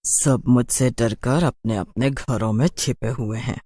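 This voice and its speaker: A woman's voice: rumbling low, a little slow, warm tone, no echo. A woman playing a haughty, mocking city witch in a horror cartoon, with a taunting drawl.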